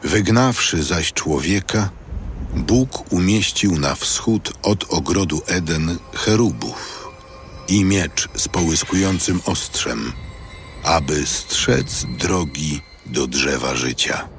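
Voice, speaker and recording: A man's voice: deep voice, lively intonation, slow, faint music behind. A man narrating scripture in a solemn, scripted style.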